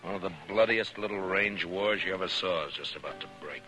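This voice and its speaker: An American man playing a stern, weary, principled frontier marshal with a terse, gruff manner in a 1950s radio drama. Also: deep voice, a little fast, music in the background, natural voice. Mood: weary, troubled. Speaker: lead actor in a western radio drama. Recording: noisy vintage 1950s radio recording.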